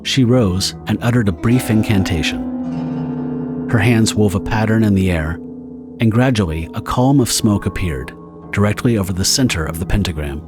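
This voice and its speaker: Low-voiced male story narrator over music. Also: expressive, normal speed. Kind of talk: scripted dramatic story narration.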